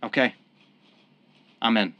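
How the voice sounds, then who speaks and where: A man's voice: takes it slowly, pushed and bright, no echo, dull audio. An actor in a radio drama playing a young former gangster, repentant and devoted to his sweetheart. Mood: startled, wary. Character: young ex-mob tough, repentant, devoted expectant father.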